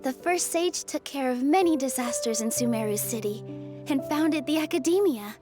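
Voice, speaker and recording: Casting: devout young dancer girl for a game, high and gentle, earnest storyteller, music underneath. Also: expressive, normal speed. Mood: reverent. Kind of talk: video game character dialogue. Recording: music behind the voice.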